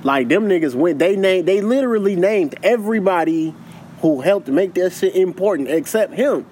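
A man's voice: sits high, animated, moderate pace, darkish tone, a little hiss or hum. A man co-hosting a podcast, chatting casually with loose slang and lots of repeating himself. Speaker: podcast co-host. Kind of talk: casual chat.

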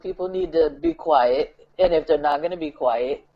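A man's voice: on the high side, wildly swooping pitch, moderate pace, creaky, lo-fi sound. A man co-hosting a podcast with a stuffy nose from a head cold, talking bluntly and profanely with lots of filler words. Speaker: podcast co-host. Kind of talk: casual podcast chat.

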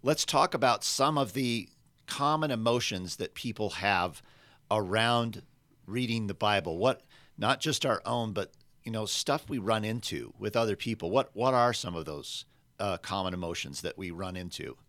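Man with a scratchy, gravelly voice. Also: lowish voice, animated, moderate pace, fairly bright tone, dry recording. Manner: rambling, self-correcting, friendly. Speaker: podcast host. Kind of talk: conversational podcast hosting.

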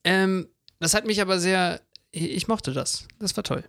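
A man with a fairly high, distinctly creaky voice chats casually as a podcast co-host. The sound is dry and close, and his phrasing is loose and colloquial. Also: moderate pace, fairly bright tone, no echo. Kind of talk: casual chat.

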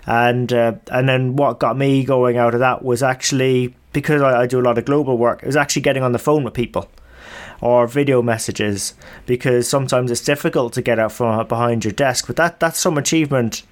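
A man's voice: medium pitch, steady tone, fairly quick. Thoughtful male podcast host. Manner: reflective, hesitant phrasing. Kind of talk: interview questions.

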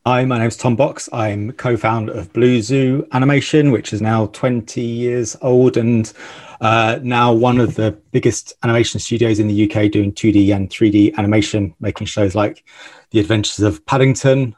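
A man who co-founded an animation studio, introducing himself on a panel in a relaxed, measured way. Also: mid-range voice.

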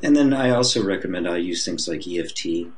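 A mature man with a low-pitched voice talks as a guest teacher in an interview. He explains his ideas reflectively and conversationally, often restarting a phrase as he works toward the wording. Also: lively intonation, a little fast.

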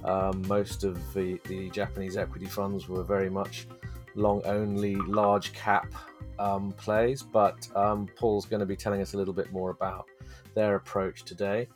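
A man hosting a podcast interview, his voice hoarse from hay fever, talking casually with many ums and restarts. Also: low-pitched, little pitch movement, faint music behind. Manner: halting, many fillers. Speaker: podcast host.

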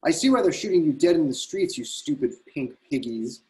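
A man acting a defiant, taunting loudmouth. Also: on the high side, big pitch swings, a little fast, low-quality recording. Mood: defiant, angry. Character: defiant rowdy large man with a radio, taunting police. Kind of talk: screenplay table read.

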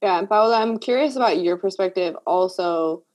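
A woman's voice: mid-range voice, normal speed, darkish tone. A woman hosting a casual talk, reflective and thinking aloud with many "like" fillers. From Puerto Rico. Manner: reflective, heavy use of "like" fillers. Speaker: podcast host. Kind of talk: casual conversational discussion.

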